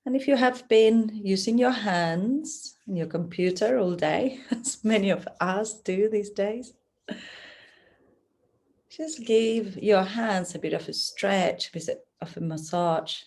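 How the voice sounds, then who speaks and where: A woman teaching yoga nidra, giving gentle, soothing, unhurried guidance through a relaxation practice. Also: medium pitch. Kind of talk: guided relaxation instruction.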